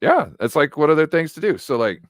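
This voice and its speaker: A man's voice: on the high side, sing-song, fairly quick, no room sound. Slangy man bantering on a sports show, dry sound. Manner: slangy, informal, peppers talk with check-in phrases. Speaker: co-host of a sports fan show. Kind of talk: casual sports fan banter.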